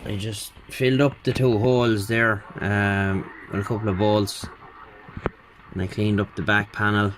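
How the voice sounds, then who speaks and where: A man giving a casual hands-on repair walkthrough as a technician, in a halting, matter-of-fact way. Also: fairly low pitch, level tone, background noise. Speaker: radio repair technician presenting own work.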